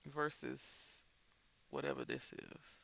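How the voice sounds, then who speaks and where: Gravelly, bright-voiced man, stuffy and chatty. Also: mid-range voice, fairly even intonation, slow. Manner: rambling, chatty, thinks aloud. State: stuffy and congested. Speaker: crafting channel host. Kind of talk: casual chat while coloring.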